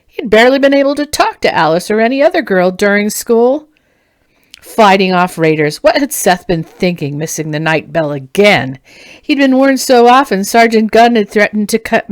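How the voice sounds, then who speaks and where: A woman narrating a fantasy novel as its author, in an expressive storybook reading style. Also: medium pitch, normal speed, dry recording, studio quality.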